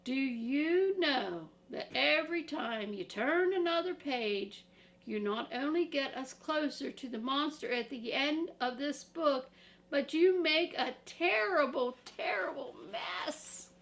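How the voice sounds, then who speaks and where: Grandma voicing a frantic furry little monster, dramatic and creaky. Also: female voice, sits high, expressive, a bit bright, voice pushed up, full character voice. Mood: exasperated. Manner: pleading, emphatic, drawn-out words. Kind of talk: expressive picture-book read-aloud.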